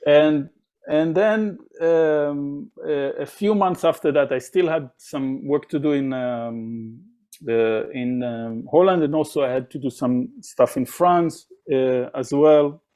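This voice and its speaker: A man's voice: on the high side, takes it slowly, dark tone. A man from Israel, a drummer, telling stories in a casual interview, with many fillers and restarts. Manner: rambling, many fillers and restarts, acts out quoted voices.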